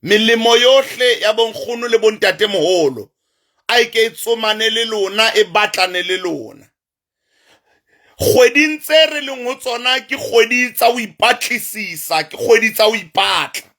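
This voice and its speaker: South african guy w sesotho accent, healer doing a livestream, bright tense voice, preachy and repeats himself. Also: high voice, animated. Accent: South African, Sesotho-influenced. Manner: slow, emphatic, repetitive, preacher-like. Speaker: traditional healer addressing online followers. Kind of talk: livestream address to viewers.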